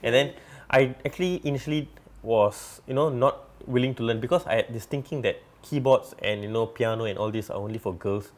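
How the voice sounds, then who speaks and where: A man's voice: medium pitch, brisk. A young man, a guest telling his story in a rambling way full of fillers. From Singapore. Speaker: interview guest, musician. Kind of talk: casual interview storytelling.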